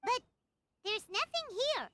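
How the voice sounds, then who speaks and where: A woman voicing a small, childlike, excitable fairy companion in a video game, squeaky and expressive. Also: slow pace, full character voice. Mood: puzzled. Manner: expressive, exclamatory. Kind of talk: video game voice acting, cartoonish dialogue. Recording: studio voice over game music.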